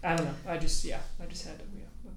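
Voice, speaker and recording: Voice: medium pitch, fairly even intonation, fast. A podcast host chatting in a rambling, emphatic, informal way, filling sentences with "like" and "you know". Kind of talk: casual conversational interview. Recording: roomy echo with clear background noise.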